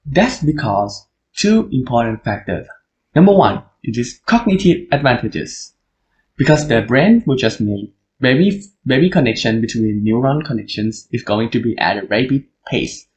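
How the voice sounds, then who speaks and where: A man's voice: medium pitch, lively intonation, normal speed, lo-fi sound. Thai man explaining language learning. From Thailand. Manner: earnest, explanatory, talks straight to listeners. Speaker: podcast host. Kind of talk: solo podcast monologue on language learning.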